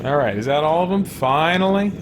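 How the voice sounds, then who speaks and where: A man's voice: on the high side, animated, fairly quick, heavy background noise. A man giving casual let's play commentary, thinking aloud and muttering to himself as he plays. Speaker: gaming channel host.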